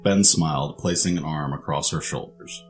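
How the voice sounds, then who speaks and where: A man with a very low voice reads scripted story narration. He serves as the narrator of an audio drama, with soft music underneath. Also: moderate pace.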